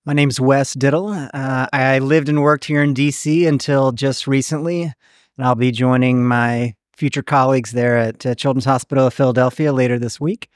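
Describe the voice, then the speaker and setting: A man's voice: mid-range voice, steady tone, moderate pace, no echo, clean studio sound. A man being interviewed as a conference delegate, answering casually and reflectively.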